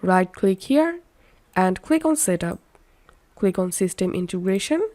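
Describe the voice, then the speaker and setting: Woman doing a screen tutorial voiceover, mid pitch, walks you thru each step, bit halting. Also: animated, mellow. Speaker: tutorial host. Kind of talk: step-by-step software tutorial voice-over.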